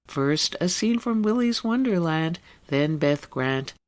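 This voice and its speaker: A woman presenting a radio arts segment, reading scripted narration in a measured, deliberate way. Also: low-pitched, animated.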